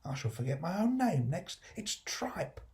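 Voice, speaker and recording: Classic storybook role, acted by a man: kindly old working-class dad, mellow tone, homely dialect, musing and affectionate. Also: fairly high pitch, very animated, unhurried, voice pushed up. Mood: pondering. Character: kindly, humble old working-class London father, easily enraptured.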